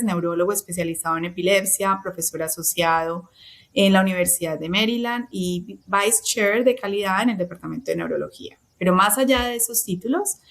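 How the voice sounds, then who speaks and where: Woman cohost, kinda low voice, formal podcast intro, couple of "eh"s. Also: creaky. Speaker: co-host.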